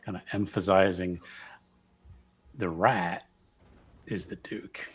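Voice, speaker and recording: A man's voice: low-pitched, fairly even intonation, slow pace, husky. A man playing a tabletop roleplaying game, casually narrating what his character does.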